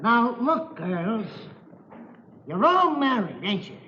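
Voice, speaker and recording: A man's voice: very high-pitched, sing-song, slow pace, bright, forceful tone, heavily acted. An actor playing a fussy, bossy, sarcastic dance-hall proprietor drilling his dancers in a 1940s radio drama. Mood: exasperated. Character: exasperated, fussy dance-hall boss drilling chorus girls. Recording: muffled old radio, roomy echo, music.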